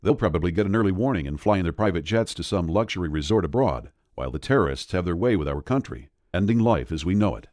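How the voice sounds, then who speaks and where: Urgent lowish male sales voice-over. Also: lively intonation, fast talker, few pauses, a little mellow, no room sound, clean studio sound. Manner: urgent, persuasive pitch delivery. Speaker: sales pitch narrator.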